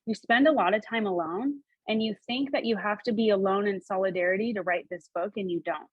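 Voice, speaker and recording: A woman working as a psychic medium, relaying messages in a halting, repetitive, animated way. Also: mid-range voice, slightly rough, no echo, muffled recording. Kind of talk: spoken reading, relaying messages in quotes.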